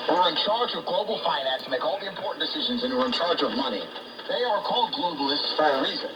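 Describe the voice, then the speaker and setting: A man talking politics as a guest on a talk show, in an emphatic, ranting manner, heard over shortwave radio. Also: a little high. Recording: noisy shortwave radio broadcast, distant.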